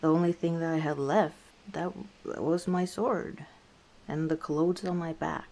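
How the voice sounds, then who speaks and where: A battle-scarred orc tavern keeper, played by a woman, shares her past in an intimate ASMR roleplay. Her voice sits low for a woman and she speaks very slowly, pausing to reflect. She comes across as weary and guarded, with a gentle wry streak. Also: fairly even intonation. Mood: weary, hurt. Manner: unhurried, reflective, hesitant pauses, soft chuckles.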